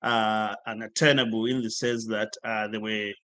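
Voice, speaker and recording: A man's voice: fairly low pitch, level tone, pushed and bright, no room sound. Thoughtful man, dry close sound. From Zimbabwe. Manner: thoughtful, hesitant, pausing with "uh". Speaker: nurse, interview guest. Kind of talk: casual interview answers.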